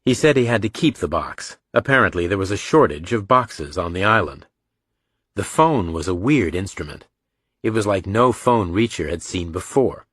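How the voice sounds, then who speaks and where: Low-voiced male audiobook narrator, very dry. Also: expressive, moderate pace, fairly bright tone, husky, no echo. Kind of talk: scripted storytelling narration.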